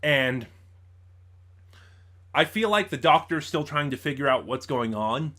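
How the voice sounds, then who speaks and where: A man's voice: on the high side, animated, a little slow, a bit bright. A man reviewing in an informal commentary style, thinking aloud and correcting himself as he goes. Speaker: audio drama reviewer.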